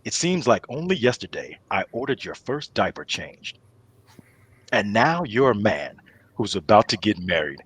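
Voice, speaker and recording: A man's voice: lowish voice, very animated, moderate pace, fairly bright tone, breathy edge, dry recording, voice pushed down, heavily acted. A man playing a commanding, fatherly king with a regal, declarative manner. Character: commanding, fatherly king addressing son and court.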